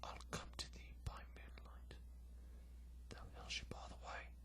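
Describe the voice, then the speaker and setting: A man voicing a romantic, daring highwayman in a dramatic poem, in a hushed, intimate, breathy whisper. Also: unhurried, natural voice. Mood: tender vow. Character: romantic, daring highwayman murmuring to his sweetheart. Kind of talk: dramatic poetry recitation.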